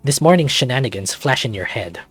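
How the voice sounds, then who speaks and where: A man's voice: medium pitch, lively intonation, brisk, husky, soft background music. A man narrating a scripted second-person story as a visual novel reader.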